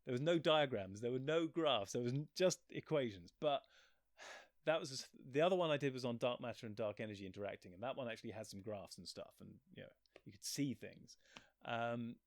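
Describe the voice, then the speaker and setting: A man's voice: medium pitch, brisk, dry recording, studio quality. A man chatting casually as an interview guest, rambling and self-deprecating, often breaking off mid-thought. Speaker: physicist and author. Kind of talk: casual chat.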